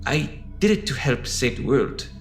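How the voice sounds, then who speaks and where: A man's voice: medium pitch, animated, moderate pace, faint music behind, close to an everyday voice. A man playing an anxious, defensive scientist in an audio drama, hesitant and stammering as he pleads. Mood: pleading, defensive. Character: anxious, defensive male scientist pleading his good intentions.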